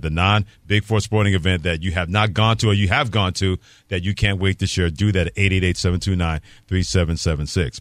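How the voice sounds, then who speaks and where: A man's voice: lowish voice, no echo, clean studio sound. An American man hosting a sports talk radio show with opinionated banter, emphatic and fond of piling up phrases.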